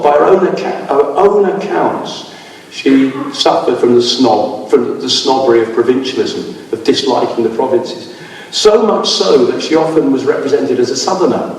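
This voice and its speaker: A man's voice: medium pitch, expressive, normal speed, a little mellow, slight background noise. A man giving an informal slide lecture, rambling with many restarts and losing his voice. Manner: discursive, with many fillers and restarts. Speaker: speaker giving a public talk. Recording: echoey hall, from far off.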